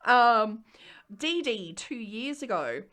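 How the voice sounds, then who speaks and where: An Australian woman co-hosting a podcast in casual chat, with an animated, expressive manner. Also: a little high, takes it slowly. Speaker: podcast co-host.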